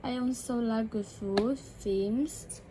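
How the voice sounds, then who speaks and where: A woman practising English by repeating a dialogue line by line, slowly and carefully, with occasional self-corrections. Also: on the high side, background noise. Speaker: English learner.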